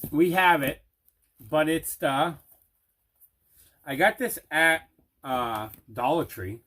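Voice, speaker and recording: A middle-aged man casually showing items in an unboxing, deliberate and repeating himself. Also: fairly high pitch, lively intonation, sharp tone. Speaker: host of a movie collecting show. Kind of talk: casual unboxing show-and-tell.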